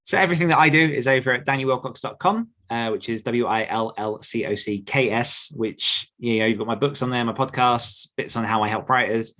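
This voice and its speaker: A man's voice: medium pitch, fairly even intonation, a little fast, few pauses, pushed and bright. A man speaking earnestly and conversationally as an author coach being interviewed.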